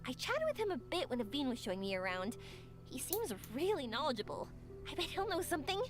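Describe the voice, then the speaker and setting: Cheerful young woman, high voice, earnest and reflective, game music behind. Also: very animated, quick pace.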